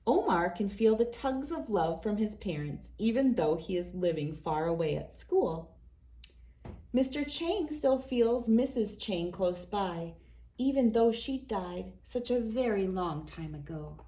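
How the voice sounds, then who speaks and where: A woman hosts a read-aloud of a picture book for children. Her voice sits at a medium pitch. She phrases the story deliberately and expressively, as a storyteller does. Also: expressive, warm tone.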